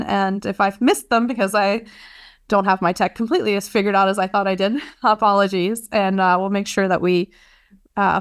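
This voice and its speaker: A woman's voice: medium pitch, smooth. A woman with a Danish accent hosting a webinar Q&A in a chatty, self-deprecating, easygoing way over a video call mic. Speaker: webinar host, marketing lead. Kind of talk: webinar wrap-up and Q&A.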